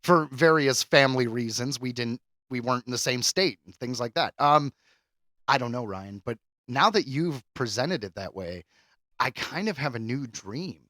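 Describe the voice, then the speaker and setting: A man's voice: medium pitch, lively intonation, moderate pace, sharp tone, dry recording. A man co-hosting a podcast in casual, jokey chat, telling things with theatrical flair. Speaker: podcast co-host.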